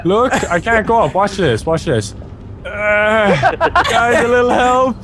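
A man's voice: sits high, slow, a bit bright, slight background noise. A young man in casual gaming chat with friends, teasing and playful. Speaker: gamer.

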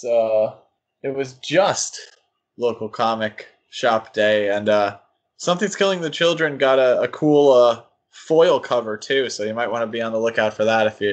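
A man hosting a podcast, chatting in a casual, conversational way. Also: medium pitch. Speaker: podcast host.

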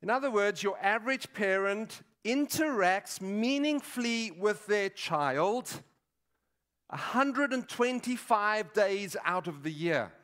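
A man in his sixties preaching a sermon in a deliberate, emphatic way, pausing between short phrases. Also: high voice, animated, fairly bright tone. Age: 60s. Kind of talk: sermon with statistics and teaching. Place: large dim auditorium stage at a lectern.

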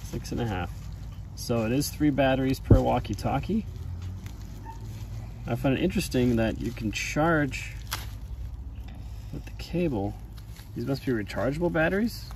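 A man's voice: medium pitch, expressive, moderate pace, long pauses, darkish tone. A middle-aged man chatting casually as he unboxes a product, patiently prompting with questions. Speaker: father reviewing a product with his child. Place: outdoors on a grass lawn. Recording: outdoor handheld recording, distant, noisy ambience.

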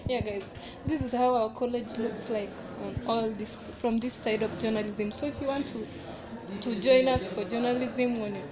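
A young woman chats as she walks through her college, filming on a phone held in her hand. Her voice sits a bit high, and she keeps turning to her viewers with "guys" between her remarks. Loud student chatter fills the space behind her. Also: fairly even intonation, normal speed. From Kenya. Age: young adult. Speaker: student vlogger. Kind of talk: casual walking tour narration. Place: college corridors and classrooms, indoors. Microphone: handheld phone camera. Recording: phone recording with loud crowd noise. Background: noisy crowd of students.

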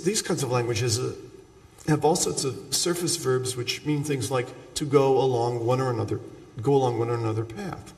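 A man delivers an academic lecture in a halting, explanatory way, often breaking off to find the right word. His voice sits at an average male pitch. It is picked up from a distance in a large, echoing hall with long reverb. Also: vocal fry. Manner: halting, searching for words, explanatory asides. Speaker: linguistics lecturer. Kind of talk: academic lecture with example sentences. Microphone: far from the speaker. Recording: echoey hall, distant microphone.

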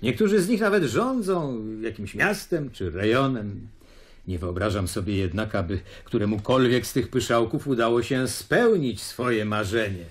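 An actor in a radio drama playing a scornful, blunt philosopher who is dismissive and opinionated. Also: male voice, mid-range voice, lively intonation, normal speed, lightly acted. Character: Craterios, scornful blunt male skeptic philosopher.

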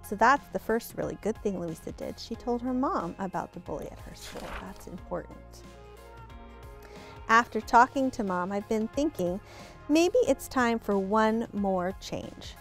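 A middle-aged woman hosting a bedtime read-aloud, speaking deliberately and expressively as she would to young children. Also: medium pitch, expressive, faint music behind. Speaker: host reading bedtime stories to children. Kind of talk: picture-book read-aloud and simple explanation for children. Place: living-room style set, indoors.

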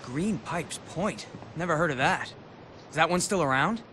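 An eager, casual young male skater from a video game. His voice sits a little high and moves around a lot. Noise is clearly audible behind him. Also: moderate pace. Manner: casual, excitable. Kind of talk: video game cutscene acting.

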